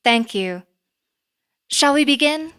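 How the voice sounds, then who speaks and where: A businesslike woman manager in an audio drama. She has a slightly raised pitch and a poised, courteous manner. Her phrasing is formal and measured. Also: animated, fairly bright tone, exaggerated voice. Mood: polite, interested. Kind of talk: naturalistic audio drama acting.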